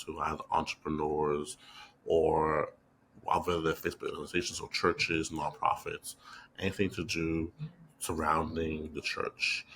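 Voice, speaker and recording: A young man from the Bahamas, a PR agency president, answering interview questions in a measured, reflective way with frequent fillers. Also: rumbling low, gravelly, fairly bright tone, a little hiss or hum.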